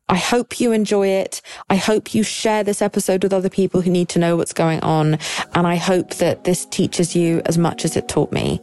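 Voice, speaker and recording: A woman's voice: fairly low pitch, no room sound. A woman hosting an interview podcast, introducing her guest effusively and speaking appreciatively. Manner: warm-hearted, effusive, appreciative. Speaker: podcast host.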